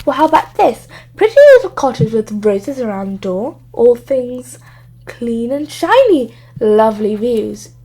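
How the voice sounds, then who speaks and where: A woman's voice: on the high side, expressive, unhurried, mellow, husky, heavily acted, low-quality recording. A woman voicing a house-proud, insincerely polite female witch in a children's storybook. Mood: brisk, coaxing.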